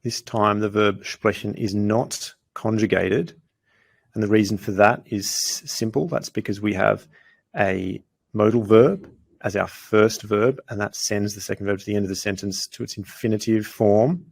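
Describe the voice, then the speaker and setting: A man teaching grammar as a language lesson, patiently explaining example sentences and slowing down to say the foreign phrases clearly. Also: on the low side.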